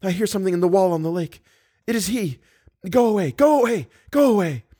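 A man's voice: on the high side, lively intonation, brisk, pitched above the performer's own voice. A man voicing a frightened captive young woman in an audiobook, breathless, urgent and pleading. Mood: alarmed, pleading.

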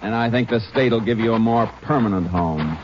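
An actor playing a calm, low Texas Ranger. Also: male voice, brisk, lightly acted. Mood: firm. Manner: firm, level, matter-of-fact. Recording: dull 1950s radio broadcast with noise.

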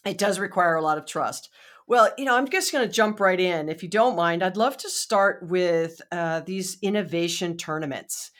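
A woman's voice: on the low side, moderate pace, a bit bright. A woman hosting her podcast, chatty and enthusiastic, with many fillers as she asks questions. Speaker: podcast host. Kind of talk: podcast interview.

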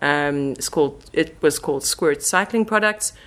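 A woman's voice: low-pitched, moderate pace. A woman with a South African accent, chatting informally as a guest in a casual podcast interview. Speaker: interview guest, marketing manager.

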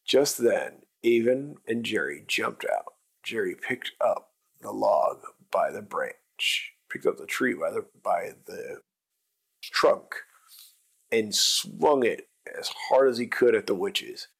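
A man's voice: on the low side, big pitch swings, slow pace, creaky, breathy edge. A man narrating an improvised bedtime story for children, with simple wording and emphatic repetition.

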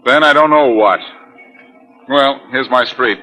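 An actor playing a cool, quick-witted detective in a 1940s radio drama, clipped, wisecracking and urgent. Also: male voice, medium pitch, sharp tone, slight background noise, low-quality recording. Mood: grim. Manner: clipped, wisecracking, hard-boiled.

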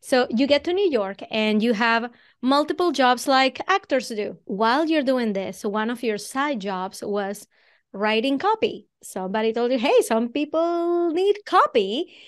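A woman's voice: a little high, lively intonation, a little slow. A woman from Venezuela with a Venezuelan Spanish accent, hosting a podcast and asking long, winding interview questions. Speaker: podcast host. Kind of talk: conversational interview.

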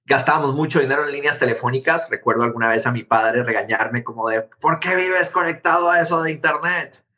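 A man from Guatemala in his thirties, an interview guest telling his story in a quick, animated conversational way. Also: mid-range voice. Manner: animated, quick, jumps between anecdotes. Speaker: interview guest, tech founder.

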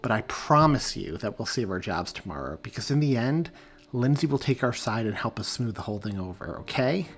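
Man playing a goofing-off cop buddy, husky, loud and persuasive. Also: mid-range voice, lively intonation, fairly quick. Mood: coaxing. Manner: loud, exasperated then coaxing. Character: easygoing, reckless male cop partner.

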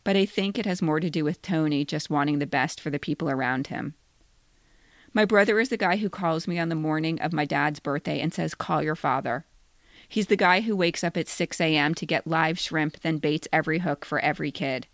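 A middle-aged American woman reading a scripted personal story in a measured way, in short plain sentences. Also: low-pitched, no echo. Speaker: podcast co-host reading their own essay.

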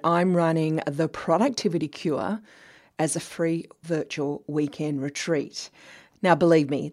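A woman's voice: fairly low pitch, a little mellow. An Australian woman in her fifties hosting her own podcast, talking in a chatty, conversational way as if over coffee. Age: 50s. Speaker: podcast host. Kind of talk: casual solo podcast monologue.

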